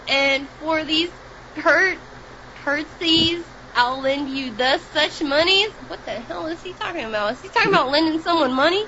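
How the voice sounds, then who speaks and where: A woman's voice: high voice, pushed and bright. A young woman in her twenties on a casual podcast, giggling often and stumbling as she reads aloud. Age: 20s. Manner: giggly, reads haltingly with frequent laughs. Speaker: podcast co-host. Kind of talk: casual chat, reading aloud. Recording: dull lo-fi podcast recording with faint noise.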